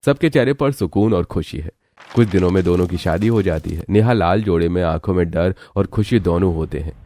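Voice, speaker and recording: A man's voice: sits low, fairly quick, mellow, soft background music. A man narrating a scripted cartoon story as its storyteller.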